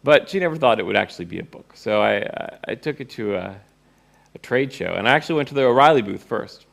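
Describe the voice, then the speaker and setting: Gravelly-voiced man telling a story. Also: medium pitch, expressive, fast, a bit bright. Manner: wry, acts out remembered dialogue. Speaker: presenter, course teacher and author. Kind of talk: informal first-person storytelling to an audience.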